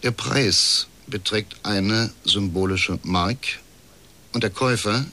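An actor playing a bitter, middle-aged male official confiding as a whistleblower in a radio crime drama, with a husky voice and measured delivery. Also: lowish voice, bright, forceful tone. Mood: dry, bitter. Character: disillusioned, chain-smoking male privatisation official, uneasy whistleblower.

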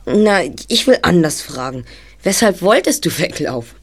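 An actor playing a brisk, analytical boy detective leader in an audio drama, speaking in precise, bookish phrases. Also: mid-range voice, sing-song. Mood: thoughtful, probing.

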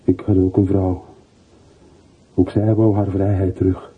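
An actor playing a weary, regretful middle-aged former soldier over a telephone in a radio play, subdued, in halting short phrases. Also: male voice, deep voice, flat delivery, breathy edge, heavily acted. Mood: regretful, longing. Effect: telephone voice filter. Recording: muffled phone-like old radio recording.

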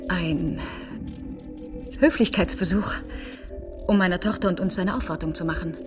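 A woman's voice: on the low side, moderate pace, music in the background, close to an everyday voice. A middle-aged woman acting an anxious, evasive mother being interrogated in a radio play, genteel and protective.

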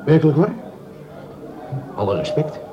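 An actor playing a dreamy, self-mocking unemployed plumber in a radio play, rhapsodic and stammering, repeating himself. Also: male voice, on the high side, big pitch swings, unhurried, lo-fi sound, crowd noise. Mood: earnest, impressed.

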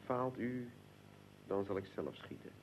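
A man playing a fervent, solemn nationalist conspirator leader in a radio drama, speaking in a deliberate, emphatic, oratorical way. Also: lowish voice, a little hiss or hum, lightly acted, poor audio. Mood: determined.

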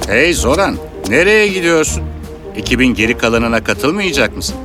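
A friendly crewmate played by a man in a fairy-tale cartoon, hearty and chummy. His intonation jumps around, and music plays clearly behind him. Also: medium pitch, big pitch swings, normal speed, close to an everyday voice. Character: friendly, concerned male ship crewmate.

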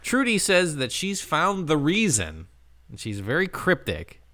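Bright-voiced joking man. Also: a little high, wildly swooping pitch, unhurried, slight background noise. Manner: joking, playful, laughs often. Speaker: podcast co-host. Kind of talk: casual recap chat.

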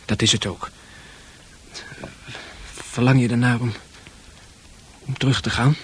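A man playing a shy, gentle teenage boy in a radio play, speaking softly and awkwardly with a breathy, husky voice. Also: medium pitch, fairly even intonation, measured pace, a little hiss or hum, lightly acted. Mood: sympathetic. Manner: hesitant, soft-spoken, awkward. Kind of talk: intimate Dutch radio play acting.

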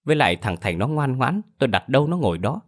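A man voicing a sharp-tongued elderly mother-in-law who argues in a whiny, defensive way. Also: big pitch swings, fast. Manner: shrill, whiny, argumentative.

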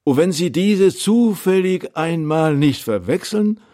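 A man's voice: on the high side, animated, slow pace, mellow. A man playing an eloquent, wry Alsatian theologian in a radio play, speaking in an emphatic, rhetorical way. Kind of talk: radio drama acting.

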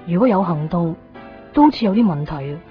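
A woman's voice: on the low side, lively intonation, a little fast, lightly acted. An actress playing an introspective, guilt-ridden young woman in a Cantonese radio drama, with a Hong Kong accent. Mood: pensive narration. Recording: dull 1990s radio recording, faint music.

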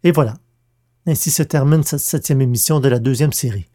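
A man's voice: mid-range voice, a little fast, dark tone. A man hosting a podcast, reading scripted announcements with careful enunciation. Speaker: podcast host and audio drama producer.

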